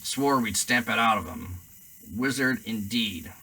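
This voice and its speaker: A man voicing a stout, stubborn middle-aged uncle in a storybook reading, speaking in a slow, heavy, blustering way. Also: lowish voice, lively intonation, bright, forceful tone, husky, far from the mic, full character voice, low-quality recording. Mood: angry.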